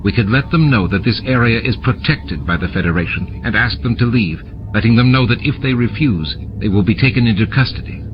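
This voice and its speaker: A man voicing a composed male station commander in an audiobook, measured and authoritative. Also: mid-range voice, animated, dull audio, over music, natural voice. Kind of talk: audiobook character dialogue.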